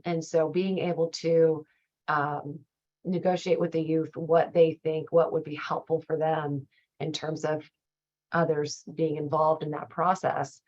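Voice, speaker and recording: Low, slightly raspy woman trainer. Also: a little mellow. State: slightly raspy, starting to lose her voice. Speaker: trainer leading a professional training. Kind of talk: conversational slide presentation. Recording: dull, muffled lo-fi audio.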